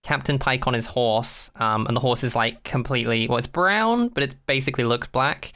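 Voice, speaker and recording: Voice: medium pitch, moderate pace, a bit bright. A podcast co-host chatting casually about a sci-fi show in a wry, jokey way.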